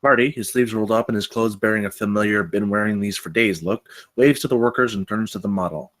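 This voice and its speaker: A man's voice: fairly low pitch, fast talker. A man reading stage directions as the narrator of a screenplay table read.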